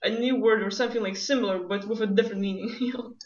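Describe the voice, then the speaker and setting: A woman's voice: mid-range voice, steady tone, quick pace, crowd noise. A woman from Lithuania with a Lithuanian accent, hosting a casual conversation and laughing often. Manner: laughs often, many "like" fillers. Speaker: host. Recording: echoey, distant, dull sound.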